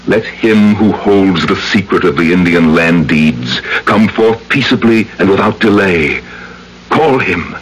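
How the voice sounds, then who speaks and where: Radio villain: vengeful hypnotist from the grave, theatrical and swooping, drawn-out menacing delivery. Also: male voice, low-pitched, expressive, slight background noise, poor audio. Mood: coaxing, commanding. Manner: slow, theatrical, menacing, savoring words. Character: sinister vengeful male mesmerist, an undead narrator from the grave. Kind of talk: old-time radio drama acting. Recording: old radio broadcast with music underneath.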